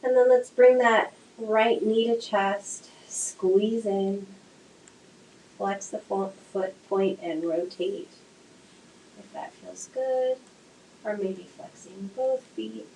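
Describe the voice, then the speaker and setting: Gentle nasal woman guiding yoga. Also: mid-range voice, slow. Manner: gentle, soothing cues. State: nasal from a cold. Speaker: yoga instructor. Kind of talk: guided yoga class instruction. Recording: echoey room, faint noise.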